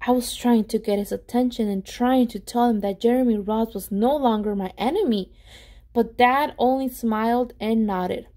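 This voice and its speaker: High-voiced woman reading a children's storybook. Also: moderate pace. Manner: animated, with dramatic gasps and emphasis. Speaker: children's read-aloud storyteller. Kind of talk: expressive storybook reading, pausing to ask children questions.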